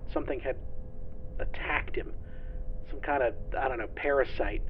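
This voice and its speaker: Male actor as lone surviving ship's engineer, weary and shaken, confessional sci-fi log delivery, average male pitch, slightly dull, noisy sound. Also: natural voice. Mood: shaken, grim. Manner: halting, confessional, sweary. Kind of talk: audio drama log recording.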